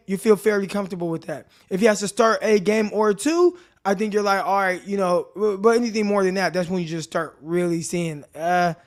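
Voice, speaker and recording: A man with a naturally raspy, dry voice hosts a live sports talk stream. He talks fairly high and loose, tossing slang straight at his viewers with an easy, chatty manner. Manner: laid-back slangy chat addressing viewers directly. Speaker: podcast host. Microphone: desk mic with earbuds in.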